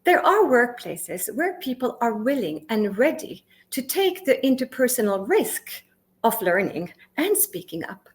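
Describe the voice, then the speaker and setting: Animated woman giving a talk. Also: on the high side. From Sweden. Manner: emphatic, asks the audience rhetorical questions; lists words one by one with pauses between them. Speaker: conference speaker and workplace consultant. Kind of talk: prepared conference talk.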